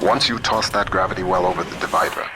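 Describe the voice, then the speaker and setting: An actor playing a shrewd, principled American computer scientist in a video game, middle-aged, wry, measured and plain-spoken. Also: male voice, deep voice, background noise. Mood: steady, guiding. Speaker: guide character. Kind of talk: video game voice acting, audio diaries and radio guidance.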